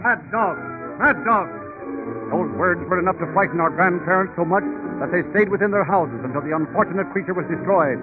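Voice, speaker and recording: Male American radio announcer, 1930s style, highish voice, polished dramatic emphasis, tinny recording over music. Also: brisk.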